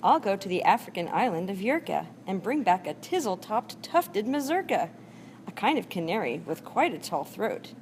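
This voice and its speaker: A woman's voice: medium pitch, big pitch swings, moderate pace, a little mellow. A woman on staff reading a rhyming storybook aloud. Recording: faint background room noise.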